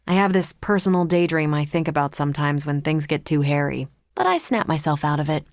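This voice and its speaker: A woman playing a confident, wry and brisk superhero soldier in a video game, with a casual, assertive way of talking. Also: sits low, animated, a little mellow, dry recording. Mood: dry, casual. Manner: casual, dry teasing, assertive. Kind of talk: video game character dialogue.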